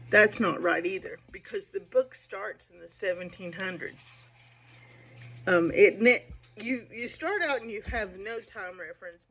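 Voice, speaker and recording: A woman's voice: fairly low pitch, vocal fry, muffled recording. A woman giving casual, opinionated book commentary in an emphatic, digressive, conversational way, with a slight speech impediment. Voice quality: speech impediment, slows down on hard sh sounds. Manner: emphatic, digressive, conversational, asking "right?" and "okay?". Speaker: book commentator on own video channel.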